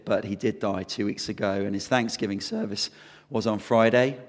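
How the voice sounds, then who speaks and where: A man preaching a sermon and leading prayer, hoarse from losing his voice, in an earnest, conversational way. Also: on the low side, long reverb tail.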